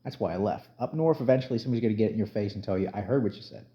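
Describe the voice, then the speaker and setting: Middle-aged New man, low voice, blunt vlog commentary; dull lo-fi sound. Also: rapid-fire. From New Jersey, USA. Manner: blunt, conversational, emphatic rants with plain talk. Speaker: YouTube commentator. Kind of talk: casual spoken commentary vlog.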